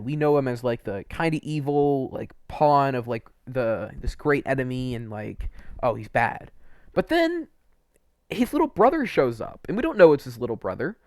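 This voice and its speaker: A man's voice: medium pitch, no echo, clean studio sound. A young man giving casual, unscripted video game commentary in an informal, enthusiastic way, with some mild swearing.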